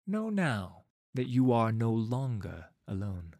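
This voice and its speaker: A man voicing a young cult leader in a story, a persuasive orator who speaks deliberately and with conviction. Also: mid-range voice, very animated, creaky, no room sound, clean studio sound, full character voice. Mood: gentle, welcoming. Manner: persuasive, deliberate, impassioned speechmaking. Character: gentle young male cult leader turning fierce orator. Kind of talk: dramatised audiobook dialogue.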